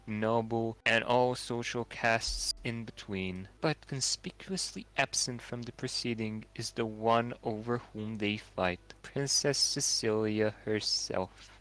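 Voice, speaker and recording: A man's voice: mid-range voice, a bit bright, close to an everyday voice, low-quality recording. A man playing a solemn storyteller, giving scripted dramatic narration for a chivalric play.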